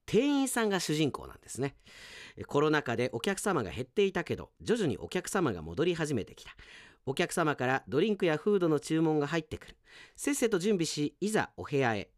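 A man's voice: on the high side, very animated, fairly quick, dry recording, clean studio sound. A man hosting a solo radio show, chatting in a self-deprecating way and reading out listener mail like an announcer. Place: radio studio.

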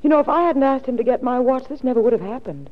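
A woman's voice: high-pitched, lively intonation, fast. An actress playing a polite, scheming woman who feigns sweet concern in a 1940s radio drama. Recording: tinny, reverberant 1940s radio recording.

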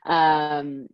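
A woman's voice: low-pitched, no room sound, muffled recording. A woman from Saudi Arabia, a guest talking expressively and at length in a casual interview over a video call. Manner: expressive, talkative, laughs often. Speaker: guest, comedy series creator.

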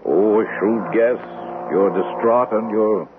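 An actor playing a courteous, probing physician in an old-time radio drama, speaking with deliberate pauses. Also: male voice, fairly low pitch. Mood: solicitous, probing. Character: smooth, probing physician, solicitous and quietly insistent. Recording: tinny, narrow-band old radio recording, hiss.

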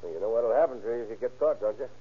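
An actor playing a plain-spoken, easygoing boat captain with a husky voice and hesitant manner in an old radio crime drama. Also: male voice, medium pitch, animated, very fast. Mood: practical. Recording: tinny, band-limited old radio recording.

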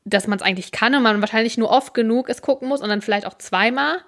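A young woman in casual conversation, explaining at length and pausing often on fillers. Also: fairly high pitch, a bit bright. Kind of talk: casual chat about subtitles and series.

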